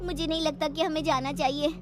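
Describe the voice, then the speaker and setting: A woman's voice: sits high, flat delivery, brisk, music in the background, voice pushed up, close to an everyday voice. A woman voicing a timid, superstitious young woman, fretful and whining, in a horror story. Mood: reluctant, worried. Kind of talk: animated horror story character acting.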